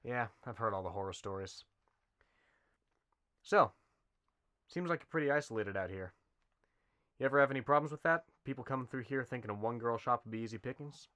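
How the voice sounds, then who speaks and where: A man's voice: mid-range voice, a little fast, long pauses, a bit bright, natural voice. An actor playing an easygoing, laconic man travelling alone on a contract job, casual and understated. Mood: casual, curious. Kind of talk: amateur western film acting.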